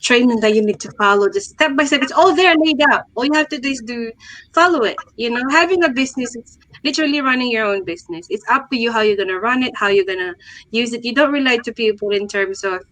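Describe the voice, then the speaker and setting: A woman's voice: a little high, lively intonation, quick pace, fairly bright tone, no echo, poor audio. Chatty woman, dry close sound. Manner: casual, chatty, filler words. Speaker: guest sharing her story. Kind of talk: personal testimonial.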